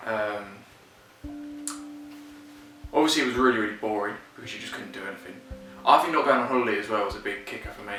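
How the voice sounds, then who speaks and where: A man's voice: on the low side, rapid-fire, bright, forceful tone. A man answering interview questions casually and frankly. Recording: echoey, distant mic, music underneath.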